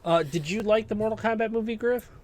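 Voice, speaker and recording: A man's voice: sits high, fast. A man co-hosting a podcast, chatting casually and teasing his friends. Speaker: podcast co-host. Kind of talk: casual chat.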